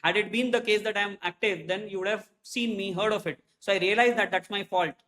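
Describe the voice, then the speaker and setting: Indian English man, late 30s, Hindi native speaker, accountancy teacher, high pitched, chatty, keeps checking in with the class. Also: steady tone, brisk, bright, forceful tone. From India, Hyderabad. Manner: chatty, checks in with "okay?" constantly. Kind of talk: classroom self-introduction.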